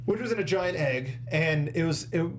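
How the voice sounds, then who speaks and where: Higher-pitched young American man, casual. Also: lively intonation, normal speed, slight background noise. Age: young adult. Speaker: co-host of a movie review show. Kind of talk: casual review chat. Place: small studio, indoors at a desk. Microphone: close studio microphone with foam windscreen, headphones.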